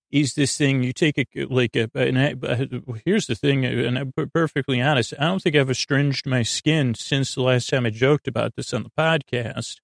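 A man hosting a sleep podcast, rambling in a meandering, stammering monologue with frequent digressions and a gravelly, creaky voice. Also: mid-range voice, no room sound, clean studio sound. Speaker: podcast host.

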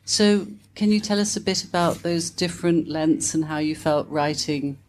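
A woman's voice: low voice, normal speed, a little mellow, a little hiss or hum. A woman in an audience asking a conversational question at a book event.

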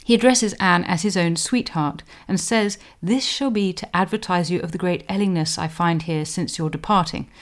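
Articulate woman historian narrating a podcast. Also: lowish voice. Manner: articulate, scholarly, deliberate emphasis. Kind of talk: scripted explanatory narration.

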